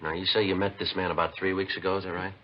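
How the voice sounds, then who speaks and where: An actor playing a calm, businesslike police sergeant in a 1950s radio drama, terse, polite and deadpan. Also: male voice, low-pitched, racing. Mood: calm questioning. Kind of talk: 1950s radio drama acting, clipped narration. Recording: dull, noisy 1950s radio recording.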